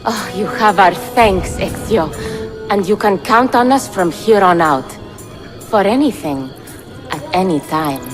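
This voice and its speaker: A woman's voice: medium pitch, lively intonation, measured pace, natural voice. An actress playing a proud, sly Romani woman leader in a video game, speaking in a pointed, theatrical way. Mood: sly, scheming. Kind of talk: cinematic video game acting. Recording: music behind the voice.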